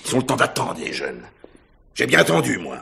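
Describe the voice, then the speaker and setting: A man's voice: mid-range voice, huge pitch swings, a little fast, vocal fry, pushed and bright, exaggerated voice. A man playing a cynical, self-absorbed older stage star in a radio mystery, theatrical and scornful in emphatic phrases. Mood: scornful, bitter. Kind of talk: 1950s French radio mystery acting.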